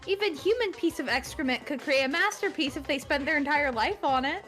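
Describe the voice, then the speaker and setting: A woman's voice: high-pitched, fairly quick, fairly bright tone, soft background music. A woman voicing a pompous, impatient game mascot bear who lectures in emphatic declarations. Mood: pompous, urgent.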